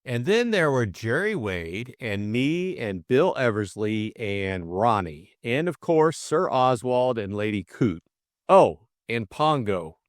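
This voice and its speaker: A man voicing a breezy, reasoning young gentleman sleuth in an audiobook. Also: medium pitch, sing-song, takes it slowly, no echo, studio quality. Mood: casual. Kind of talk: audiobook character dialogue.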